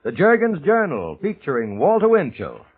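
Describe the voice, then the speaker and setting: A man announcing and reading a 1940s radio commercial in a smooth, persuasive manner. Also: a little high, huge pitch swings, moderate pace. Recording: husky, tinny old broadcast.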